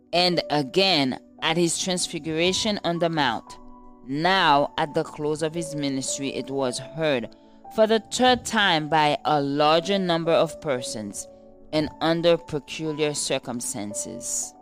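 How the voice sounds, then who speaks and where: A woman reading a scripted devotional aloud as narrator. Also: low-pitched. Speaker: devotional reader.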